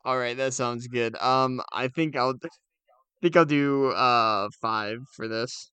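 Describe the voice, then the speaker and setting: A man co-hosting a podcast in casual, comedic chat, speaking in halting bursts with repeated phrases. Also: mid-range voice, steady tone, pushed and bright, smooth, dry recording. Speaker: podcast co-host.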